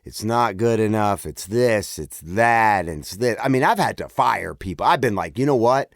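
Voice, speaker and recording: A man's voice: lowish voice, animated, normal speed, no echo, clean studio sound. A man in a casual podcast chat, speaking bluntly and informally with quoted asides. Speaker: podcast host or co-host.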